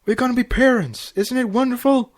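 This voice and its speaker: A man voicing an eager, earnest young stallion husband in an animated storybook read-aloud. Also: very high voice, fairly quick, pitched above the performer's own voice. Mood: overjoyed.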